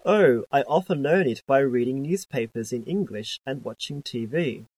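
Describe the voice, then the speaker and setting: A man's voice: medium pitch, animated, a little mellow, dry recording, rough recording. Man reading English test dialogues, dry sound. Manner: plain, measured textbook delivery. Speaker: dialogue reader on a listening test. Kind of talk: scripted reading of exam dialogues.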